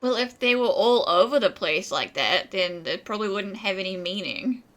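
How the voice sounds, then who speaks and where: A woman's voice: medium pitch, animated, moderate pace, fairly bright tone, lightly acted. A woman voicing an easygoing, placating scholar companion in a video game, speaking casually. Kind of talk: video game character acting.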